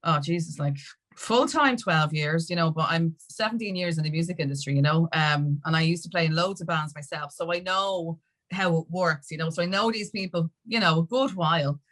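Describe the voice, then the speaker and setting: Irish female interviewee, somewhat low-pitched, candid and emphatic, conversational storyteller who acts out what people said. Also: normal speed, fairly bright tone. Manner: emphatic, rambling storytelling with acted-out quotes. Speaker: guest, music industry campaigner.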